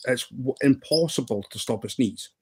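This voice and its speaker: A man's voice: medium pitch, moderate pace, noisy background. A Scottish man in his fifties hosting a casual live stream, rambling playfully with lots of ums and restarts. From Scotland. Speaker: livestream host.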